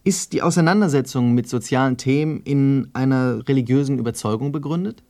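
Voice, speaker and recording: Man, radio interviewer, average-pitched voice, scripted and formal delivery, measured and matter-of-fact. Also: mellow. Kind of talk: scripted narration and questions.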